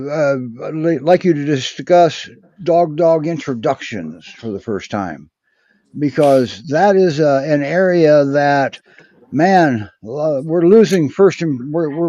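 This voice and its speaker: A man co-hosting a live show, talking in halting bursts with many restarts. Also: on the high side, mellow, no room sound. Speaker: co-host. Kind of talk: casual chat on a live show. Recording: dry muffled lo-fi sound.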